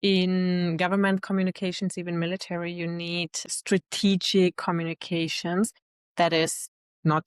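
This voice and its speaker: A woman in her thirties, talking in a fairly low voice about her own path. She sounds reflective and laughs easily. The sound is dry and close to the mic. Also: smooth, no room sound. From Germany. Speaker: guest, LinkedIn expert. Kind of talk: casual interview chat.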